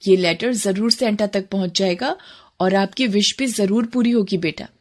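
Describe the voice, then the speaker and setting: A woman playing a caring, soft-hearted mother in a cartoon story, speaking in a soothing, sincere way. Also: medium pitch, moderate pace, lightly acted. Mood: apologetic, soothing. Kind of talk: Hindi cartoon moral story acting.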